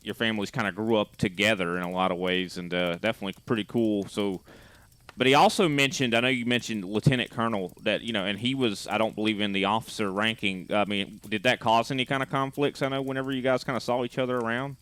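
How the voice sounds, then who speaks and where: A man's voice: lowish voice, fairly even intonation, fast talker, fairly bright tone, smooth. A man hosting a podcast, asking rambling questions full of fillers in a casual tone. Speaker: podcast host.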